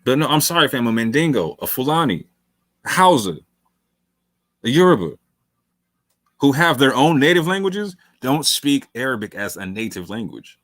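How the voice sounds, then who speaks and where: A man's voice: medium pitch, sing-song, moderate pace, long pauses, a bit bright, dry recording. A male talk show host giving long, emphatic commentary late in a livestream. He repeats phrases for stress, calls listeners fam, and sounds worn from hours on air.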